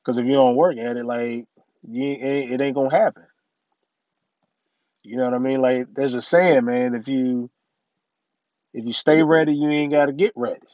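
A middle-aged man hosting his own podcast in a casual, rambling way, full of "you know" and "man", his voice a little raspy from allergies. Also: mid-range voice, steady tone, normal speed, long pauses, darkish tone, no echo, muffled recording. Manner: laid-back, rambling, many "you know" and "man" fillers. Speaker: podcast host. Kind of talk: casual solo podcast talk.

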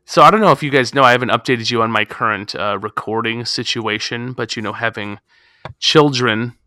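Man in his thirties, rambling casual talker, bright forceful tone, dry close sound, home garage. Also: medium pitch, a little fast, dry recording. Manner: rambling, self-deprecating, chatty. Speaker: podcast co-host. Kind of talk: casual chat.

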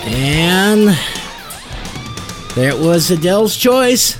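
A man's voice: high-pitched, sing-song, extremely slow. A man hosting a music podcast with casual chat and jokey, dry banter. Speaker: podcast host.

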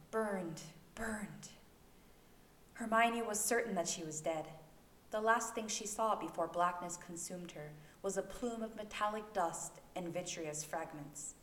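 A woman's voice: fairly low pitch, fairly bright tone. A woman reading fiction aloud as a dramatic, scripted narration for an amateur home-recorded audio story. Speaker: fan fiction podfic narrator. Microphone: new home recording microphone. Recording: echoey room, faint background noise.

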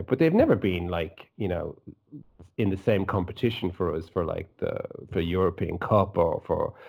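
Dublin Irish man, very deep voice, animated football banter, podcast co-host. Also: creaky. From Ireland. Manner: laughs often, animated and chatty. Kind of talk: casual football chat.

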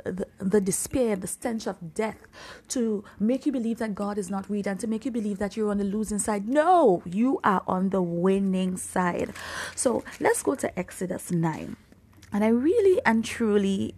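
Husky Trinidadian woman preaching. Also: medium pitch, darkish tone. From Trinidad and Tobago. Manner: earnest, emphatic, exhortative. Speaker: preacher, podcast host. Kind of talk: informal sermon, Bible teaching.

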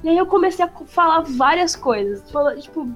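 Woman, São Paulo accent, high-pitched, slangy, profane, casual chat, faint background music. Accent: São Paulo Portuguese. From São Paulo, Brazil. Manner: slangy and profane, rushes when excited.

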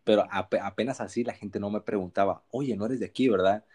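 A young man from Sinaloa with a Sinaloan Mexican accent, co-hosting a podcast and telling stories in relaxed, slangy chat. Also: on the low side, fairly quick, husky. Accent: Sinaloan Mexican Spanish. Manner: relaxed storytelling, frequent slang fillers. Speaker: podcast co-host. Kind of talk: casual chat.

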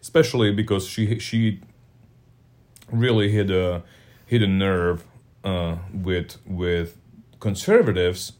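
Low-voiced man, wry political co-host. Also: a little mellow. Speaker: podcast co-host. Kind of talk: casual political commentary chat.

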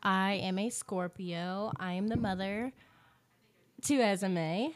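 A nineteen-year-old woman introducing herself plainly and slowly on a podcast. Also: mid-range voice. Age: teens. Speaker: guest. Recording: noisy, off-mic.

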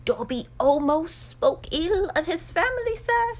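A woman voicing a small male house elf in a storybook reading, squeaky and high-pitched, earnest, pleading and servile. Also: big pitch swings, a little slow, heavily acted. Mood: anxious, deferential. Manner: earnest, pleading, speaks of self in third person, deferential.

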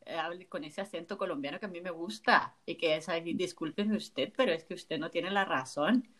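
A woman putting on a formal, scolding Colombian-style manner, low and soft. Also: fairly quick. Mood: mock formal.

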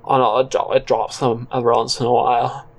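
A man playing a disgruntled former fast-food worker, rambling with halting, deadpan earnestness in an audio drama monologue. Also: medium pitch, lightly acted. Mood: earnest, aggrieved. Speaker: narrator and character in a solo audio drama.

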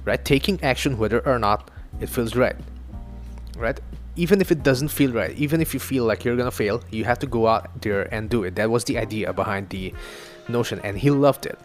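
A man's voice: mid-range voice, brisk, faint music behind. A young man from Northeast India whose first language is Assamese, hosting his own podcast in a chatty, loose monologue full of swearing and self-mocking asides. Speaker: podcast host. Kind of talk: casual solo podcast monologue. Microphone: home studio mic.

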